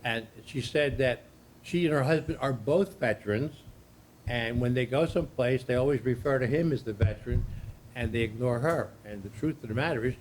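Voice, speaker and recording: Loud-voiced man teaching a workshop, echoey room. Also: mid-range voice, normal speed. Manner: loud, repetitive, plain-spoken instructor. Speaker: workshop presenter. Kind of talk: training workshop presentation. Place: meeting room with an audience. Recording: echoey room, distant mic, noisy.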